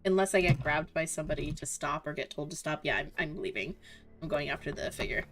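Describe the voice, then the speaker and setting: Female role-playing gamer, low register, casual and conversational, light music bed. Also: fast talker, a bit bright. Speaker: tabletop game player. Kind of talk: casual game-table chat.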